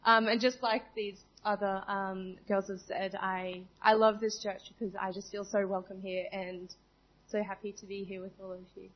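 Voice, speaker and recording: A young woman introducing herself at church, soft-spoken and hesitant, into a handheld mic. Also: medium pitch, little pitch movement, normal speed. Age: young adult. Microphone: handheld microphone. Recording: dull lo-fi church recording.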